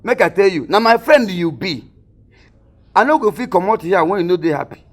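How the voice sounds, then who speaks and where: A stammering excitable Nigerian guy. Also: sits high, brisk. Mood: pleading. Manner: stammering, pleading in pidgin. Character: excitable broke young man chasing his money. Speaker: actor. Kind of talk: film comedy acting.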